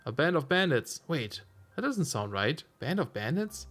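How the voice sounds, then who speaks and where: A slightly hoarse man chatting casually while streaming a game, musing aloud with self-amused asides. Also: on the high side, big pitch swings, normal speed, a bit bright. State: slightly hoarse, losing his voice. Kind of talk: casual let's-play commentary.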